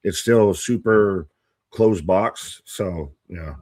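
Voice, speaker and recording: A man's voice: low-pitched, takes it slowly, darkish tone, no room sound. A man co-hosting a casual wrestling watch-along stream, laid-back and joking, often trailing off mid-thought. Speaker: co-host.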